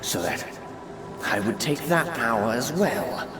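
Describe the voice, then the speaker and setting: A man's voice: medium pitch, very animated, a little slow, echoey room, music in the background. A man playing a troubled, accusing sorcerer in an audiobook, with an insistent, questioning manner. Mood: accusing, angry. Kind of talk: audiobook character voice.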